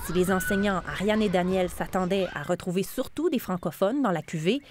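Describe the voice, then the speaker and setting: A woman with a Québécois accent narrating a news report in a measured, clear voice. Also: lowish voice, background noise. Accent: Québécois French. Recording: voice-over with music behind.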